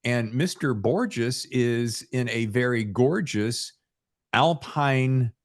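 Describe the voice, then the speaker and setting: A man's voice: mid-range voice, lively intonation, slow. A man hosting a podcast in a casual, chatty way, with a jokey, informal manner and wandering asides. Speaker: podcast host.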